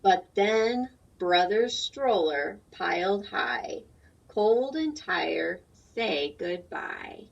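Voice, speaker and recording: A woman's voice: lowish voice, very animated, extremely slow, vocal fry. Woman reading to children, dull distant recording. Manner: simple, explanatory, addressing young children with questions. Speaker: teacher reading a picture book aloud to children. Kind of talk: read-aloud lesson for young children with simple explanations. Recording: dull, lo-fi sound, distant from mic.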